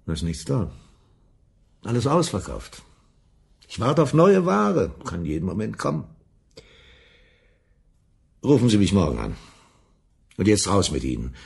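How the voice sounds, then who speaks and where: Gravelly, bossy crook dealer. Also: male voice, lowish voice, very animated, measured pace, pauses often, darkish tone, exaggerated voice. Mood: gruff, dismissive. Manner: curt, domineering. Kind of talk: German radio play acting.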